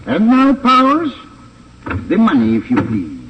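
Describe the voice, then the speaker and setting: An actor playing a suave, unflappable German smuggler with a formal, sly, deliberate manner and a German accent in a radio crime drama. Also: male voice, high voice, huge pitch swings, a bit bright, far from the mic, exaggerated voice. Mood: coolly businesslike. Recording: noisy, dull old 1950s radio recording.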